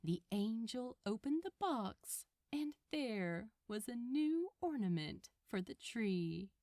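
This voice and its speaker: A woman gives an expressive scripted reading of a story. Her voice is a little high and very melodic, and she takes her time. The recording is dry, with hardly any room sound. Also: slow pace, no room sound. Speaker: podfic narrator.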